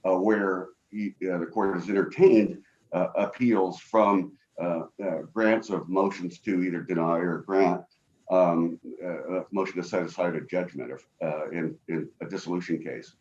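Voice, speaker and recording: A man with a booming voice arguing an appeal as an attorney over a video call from home, halting and full of fillers. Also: fairly even intonation, a little mellow, lo-fi sound. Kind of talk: appellate oral argument. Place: home office.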